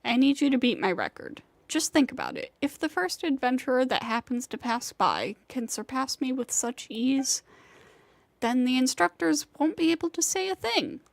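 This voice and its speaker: A woman's voice: high-pitched, big pitch swings, moderate pace, vocal fry, no room sound, full character voice. A woman voicing a burnt-out, self-doubting young female flying rider in a video game, weary and bitter as she vents. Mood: exasperated, pleading. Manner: weary, venting, self-deprecating. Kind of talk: scripted video game voice acting. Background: game music.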